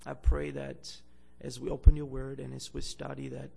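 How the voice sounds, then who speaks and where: A young man from Bulgaria, a guest preacher, gives a sermon in a church, halting with many fillers and growing louder when he reads scripture. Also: medium pitch, very animated, gravelly.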